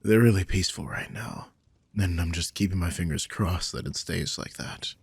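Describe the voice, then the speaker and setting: A man playing a guilt-ridden, tender supernatural protector in an intimate audio drama, speaking gently and hesitantly with a husky, breathy voice that slips toward whispers. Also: deep voice, normal speed, no echo, heavily acted. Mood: weary, worried. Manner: gentle, hesitant, sighing delivery.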